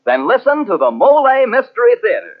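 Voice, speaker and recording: A man's voice: huge pitch swings, a little fast. A man delivering a punchy 1940s radio promotional announcement. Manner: punchy sales pitch. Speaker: contest announcer. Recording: tinny old radio recording.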